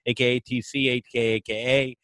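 A man's voice: mid-range voice, little pitch movement, normal speed, fairly bright tone, dry recording. A man hosting a casual comedy podcast, crude and self-mocking, laughing often, with a light lisp. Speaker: podcast host.

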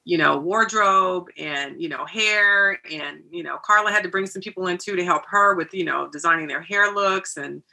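A woman's voice: fairly low pitch, moderate pace, vocal fry, few pauses, bright, forceful tone. A woman answering conversationally as a hair and makeup artist being interviewed about film work.

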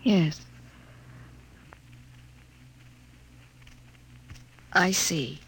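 Slow, husky, anguished young woman. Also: fairly low pitch, a bit bright, heavily acted. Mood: resigned. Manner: halting, emotionally strained. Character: anguished young woman sacrificing herself for her lover. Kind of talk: classic radio drama acting.

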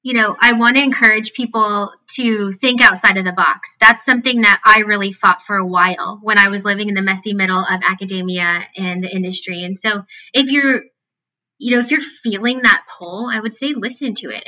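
A woman in her thirties with a squeaky, slightly nasal voice. Her tone is bright, and she talks casually and animatedly, with plenty of fillers and little acted-out quotes. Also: low-quality recording. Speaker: guest, fitness scientist. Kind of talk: casual interview chat.

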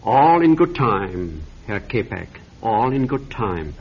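An actor playing a cunning, friendly-seeming officer who speaks English in a vintage radio drama. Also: male voice, on the low side, sing-song, slow, breathy edge, dull audio, exaggerated voice. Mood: sly. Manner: friendly, coaxing, quietly manipulative. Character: smooth, cunning German officer interrogator. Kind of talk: 1940s radio acting.

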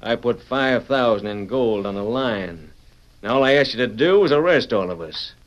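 An actor playing a smug, domineering outlaw gang boss in an old radio Western, with American frontier speech and a taunting, bossy manner. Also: male voice, medium pitch, expressive, normal speed, muffled recording, close to an everyday voice. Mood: threatening. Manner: taunting, bossy, folksy drawling slang.

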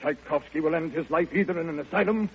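An actor playing a blunt, excitable man in old-time radio drama, speaking emphatically. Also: fairly high pitch, fast, noisy background, natural voice. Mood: grave, urgent. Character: blunt, excitable male acquaintance giving dire warnings. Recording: dull old radio recording, faint music.

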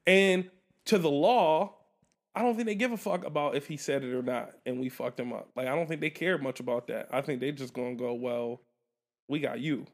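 A man co-hosting a podcast, talking in a casual, animated and profane way as he tells a story. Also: mid-range voice, moderate pace. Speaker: podcast co-host. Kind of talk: casual chat.

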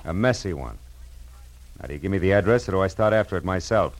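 An actor playing a wry, tough private detective, clipped and world-weary, in a 1940s radio drama. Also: male voice, deep voice, animated, fast talker, a little hiss or hum. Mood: tough, pressing. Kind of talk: 1940s radio drama acting and noir narration. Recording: vintage radio recording with music.

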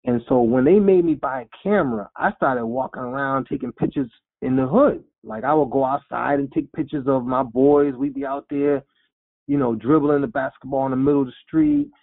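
A man in his forties telling his life story in an interview, animated and quoting himself in lively voices. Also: medium pitch, moderate pace. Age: 40s. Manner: animated storyteller, acts out quotes. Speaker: guest, rapper. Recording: phone-like lo-fi sound.

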